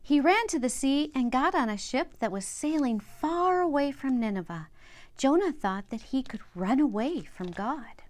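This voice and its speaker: A woman's voice: sits high, animated, moderate pace, darkish tone. An older woman reads a children's Bible story aloud, speaking directly and simply to young listeners.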